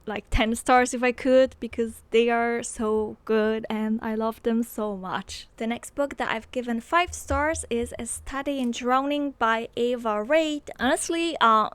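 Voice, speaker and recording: Young woman, chatty book review, smooth clear voice, dry close mic. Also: a little high, dry recording. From Poland. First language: Polish. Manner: chatty and enthusiastic, many fillers like "like" and "um". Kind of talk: casual book review vlog. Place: small bedroom with bookshelves, indoors. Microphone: handheld microphone close to mouth.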